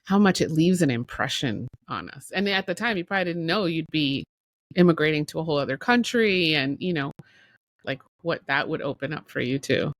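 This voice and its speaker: A woman's voice: low-pitched, expressive, a little fast, no echo, clean studio sound. A woman co-hosting a podcast, chatting casually and conversationally. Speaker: podcast co-host. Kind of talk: casual chat.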